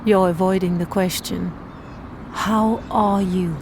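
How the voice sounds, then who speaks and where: An actress playing a guarded, concerned woman in an audio drama, restrained and direct, gently insistent. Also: fairly low pitch, fairly even intonation, slow pace, background noise. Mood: worried, insistent.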